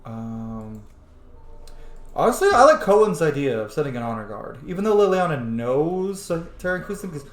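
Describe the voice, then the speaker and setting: A man's voice: on the high side, sing-song, normal speed, soft background music. A man commenting casually on a roleplaying game, chatty and opinionated, reading text aloud and reacting to it. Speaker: video game streamer and roleplayer. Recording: roomy sound with faint background noise.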